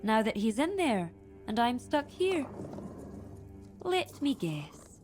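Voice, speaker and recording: Slow talking fantasy game chieftain lady, proud n guarded, formal wording, bit of music behind. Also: a little high, very animated, darkish tone. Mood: skeptical. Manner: deliberate, formal, weighty phrasing. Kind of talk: dramatic fantasy game dialogue.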